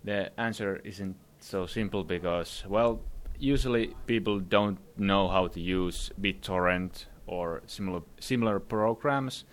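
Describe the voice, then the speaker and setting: A man's voice: low-pitched, unhurried, few pauses. A young man, a filmmaker answering interview questions in a hesitant way with many fillers. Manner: hesitant, many fillers, laughs while talking. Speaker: filmmaker guest. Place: conference summit venue.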